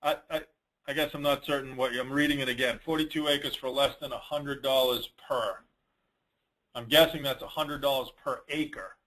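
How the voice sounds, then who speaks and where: A man's voice: mid-range voice, steady tone, moderate pace, pushed and bright, poor audio. Man giving blunt, colloquial advice. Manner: blunt, colloquial, emphatic repetition. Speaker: host of a dealer network conference call answering questions. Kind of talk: informal Q&A, advising.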